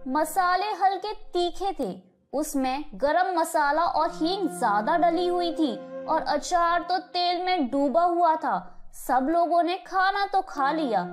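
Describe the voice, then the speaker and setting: A woman voicing the narrator and a young daughter-in-law in a Hindi animated story. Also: very high voice.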